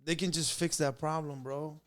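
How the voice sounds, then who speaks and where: A young man hosting a podcast, talking in an animated, slangy way with quick interjections. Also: a little high. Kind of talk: casual chat.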